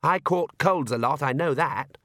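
A man's voice: on the high side, measured pace, sharp tone, no room sound, pitched above the performer's own voice. A young vampire girl full of sulky, theatrical weariness, as put on by a male reader. She sounds grudging and put-upon, a comic audiobook teenager in a close, dry recording. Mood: resentful. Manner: sullen, grudging. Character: sulky, theatrically weary young female vampire, the Count's daughter.

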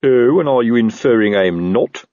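A man voicing a slow-witted, self-important police officer in an audiobook, blunt and grumbling in colloquial speech. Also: mid-range voice, animated, a little mellow, no echo, highs cut off, close to an everyday voice. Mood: grumbling, affronted. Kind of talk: audiobook character voice.

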